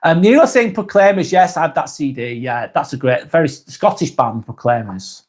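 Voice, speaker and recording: A man from Lancashire with a Lancashire accent, hosting a casual live stream and reading viewer comments aloud in a soft, hesitant way. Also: fairly high pitch, lively intonation, moderate pace. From Lancashire, England.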